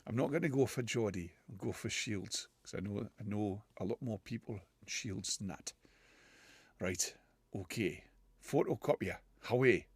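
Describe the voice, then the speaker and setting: A man's voice: fairly low pitch, moderate pace, slightly rough, no echo. A man from the United Kingdom trying out accents for fun in a casual comedy video, laughing at himself and chatting between attempts. He talks loosely, in stops and starts. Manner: self-mocking, laughs often, switches in and out of accents. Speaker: voice-challenge vlogger.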